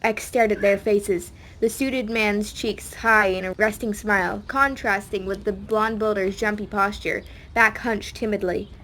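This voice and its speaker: A woman's voice: medium pitch, steady tone, measured pace, few pauses, a bit bright, low-quality recording. A woman reading a scripted audiobook story as a dramatic narrator.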